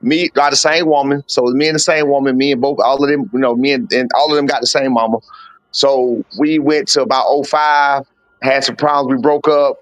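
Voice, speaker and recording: A man's voice: mid-range voice, fairly even intonation, fairly quick, dry recording. A man in his forties, a boxing coach, telling his life story in a candid, blunt way with slang and swearing in a casual podcast interview. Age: 40s. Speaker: boxing coach and father of a fighter.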